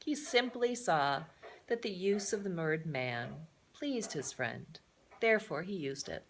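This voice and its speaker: Slow, animated woman reading a storybook aloud. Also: fairly low pitch, a little hiss or hum. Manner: expressive, with explanatory asides to listeners. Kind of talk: dramatic read-aloud of a children's adventure novel.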